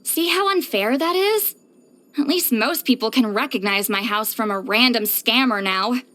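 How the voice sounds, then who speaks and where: A woman's voice: fairly high pitch, normal speed, a bit bright, natural voice. An actress voicing a prickly, easily flustered, reclusive shaman in a video game, with a theatrical, defensive manner. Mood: indignant. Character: prickly, flustered, reclusive female shaman who loves novels and wine. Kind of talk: English video game character voice lines.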